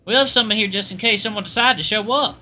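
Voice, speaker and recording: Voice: medium pitch, fast, bright, forceful tone, soft background music, pitched above the performer's own voice. A performer voicing a friendly, folksy young female college student with a Southern accent, polite and eager to help. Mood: friendly, chatty.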